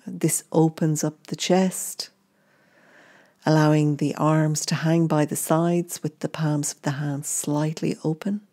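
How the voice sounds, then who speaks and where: A woman gently guiding a meditation in short, unhurried phrases. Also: sits low, little pitch movement. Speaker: meditation guide. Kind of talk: guided meditation narration.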